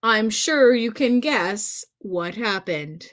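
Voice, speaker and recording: A woman's voice: mid-range voice, expressive, slow pace. A woman hosting a read-aloud for young children, speaking playfully and directly to them with exaggerated expression. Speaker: children's storyteller and singer hosting a livestream.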